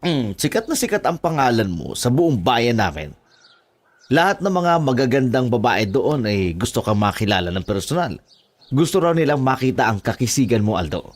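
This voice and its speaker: A flattering, smitten out-of-town gang leader acted by a man in a horror audio drama. The voice is of ordinary male pitch. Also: moderate pace.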